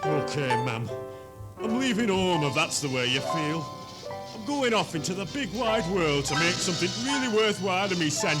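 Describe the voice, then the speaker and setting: A man's voice: high voice, sing-song, normal speed, loud music behind the voice, poor audio. A man playing a naive, whiny northern English son in his twenties in a 1970s hospital radio comedy. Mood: hopeful. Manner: plaintive, gormless, exclamatory. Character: naive, whiny, dim 23-year-old son dreaming of fame.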